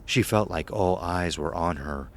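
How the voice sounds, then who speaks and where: A man's voice: low-pitched, a little slow. A man reading a scripted horror story as narrator.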